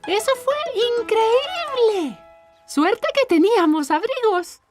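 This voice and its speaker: A woman playing an excited, bossy little child in a children's cartoon, with a squeaky voice. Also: slow pace, soft background music, exaggerated voice. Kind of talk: dubbed children's cartoon acting.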